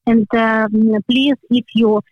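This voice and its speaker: A woman with a Ukrainian accent, a guest speaking careful, halting English with many pauses. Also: a little high, steady tone, dry recording, muffled recording. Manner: halting non-native English with frequent "uh" pauses. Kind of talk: interview over a call.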